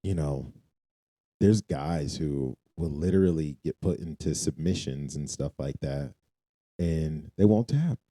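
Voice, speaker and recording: A man's voice: rumbling low, measured pace, mellow, no echo. A man co-hosting a podcast, talking casually about faith and repeating phrases for emphasis. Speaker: podcast co-host.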